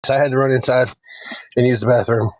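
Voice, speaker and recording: A man with a Southern accent and a raspy voice, chatting casually on a live stream from his phone, rambling and repeating himself. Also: medium pitch, steady tone, quick pace, a little mellow, a little hiss or hum. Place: parked car, then walking outdoors.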